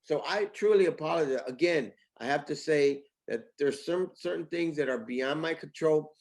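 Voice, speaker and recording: A man speaking as a company boss in a casual interview, pitching with emphatic, repetitive phrasing. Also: moderate pace. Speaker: company CEO, interview guest.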